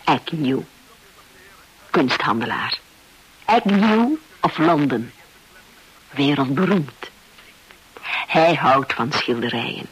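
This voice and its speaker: A self-possessed lady telling her story in a radio drama. Her voice is very husky and expressive. She takes her time, confiding candidly in the listener. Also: on the low side, exaggerated voice, poor audio. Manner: confiding, deliberate. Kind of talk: first-person radio play narration.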